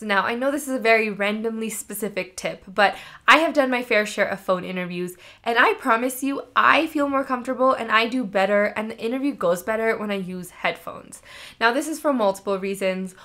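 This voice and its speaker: A woman speaking as a career coach, giving instructional advice in an expressive, emphatic, conversational way. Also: fairly high pitch, moderate pace.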